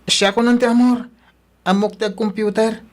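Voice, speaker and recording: A man's voice: high voice, little pitch movement, normal speed, natural voice. A man playing an eager, respectful teenage son from a poor province family in an earnest, exclaiming Ilocano radio drama style. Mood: excited, pleading.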